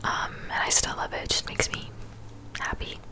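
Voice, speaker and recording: Whispering young woman, soft ASMR chat. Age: 20s. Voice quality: breathy whisper. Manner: soft, unhurried, chatty. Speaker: ASMR creator answering viewer questions.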